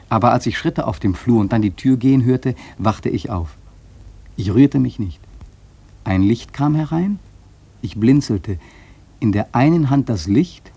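An actor playing a wary, earnest seafarer telling his tale in a German radio play, husky and measured. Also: medium pitch, mellow, heavily acted. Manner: measured, suspenseful storytelling.

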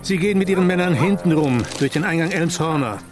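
A man's voice: fairly high pitch, animated, quick pace, music in the background, close to an everyday voice. An actor playing a curt, impatient senior policeman in a radio drama, with a clipped, authoritative manner. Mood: commanding.

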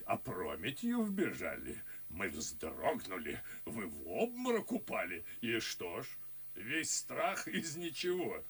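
Blunt dim colonel, raspy, forceful, slow pompous delivery, radio verse drama. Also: male voice, on the low side, huge pitch swings, slight background noise, heavily acted. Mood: brusque, unconcerned. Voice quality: gravelly, raspy. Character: blustering, self-assured army colonel, blunt and dim.

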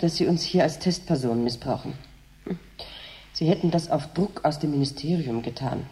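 Mellow, bitter woman. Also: deep voice. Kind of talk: radio drama acting.

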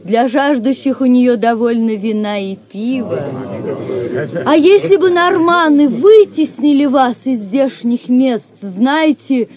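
A woman's voice: high voice, animated, takes it slowly, darkish tone. An actress playing a gracious, dignified Saxon noblewoman in an audio drama, speaking in stately, formal declamation. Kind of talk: Russian audio drama acting.

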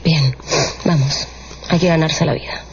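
An actress playing a weary, hard-headed young courtesan and stage star in a radio drama, languid and imperious. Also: female voice, sits low, fairly even intonation, a little slow. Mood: weary, resigned. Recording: muffled audio, faint background noise.

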